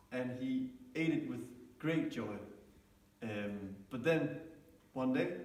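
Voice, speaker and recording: Slow-paced man telling a tale, echoey room. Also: on the high side. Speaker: storyteller in a storytelling exercise. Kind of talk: improvised fairytale storytelling with pauses. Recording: echoey room, distant microphone.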